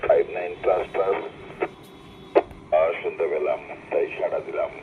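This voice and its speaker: A man's voice: sits low, fairly even intonation, moderate pace. A man with a Bengali Indian accent chatting casually over a two-way amateur radio link as a fellow operator. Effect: two-way VHF radio transmission. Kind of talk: casual ham radio conversation. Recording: tinny, noisy VHF repeater radio link.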